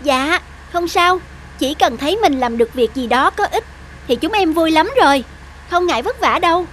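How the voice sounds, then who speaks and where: A woman's voice: high-pitched, lively intonation, normal speed, a bit bright, a little hiss or hum. An actress playing an eager, kind young female charity volunteer in a cartoon. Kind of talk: cartoon dubbing.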